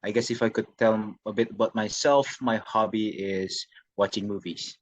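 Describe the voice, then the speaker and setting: A man's voice: on the low side, steady tone, moderate pace, no room sound, rough recording. A young man from Jakarta with an Indonesian accent, a university student answering interview questions in a hesitant way, often repeating his phrases. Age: young adult.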